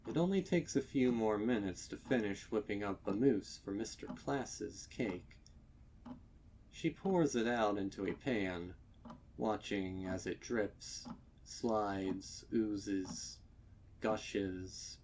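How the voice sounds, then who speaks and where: A man reading a scripted horror story as narrator, with deliberate, dramatic delivery. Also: fairly low pitch, a little mellow, slight background noise. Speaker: narrator of fan fiction readings.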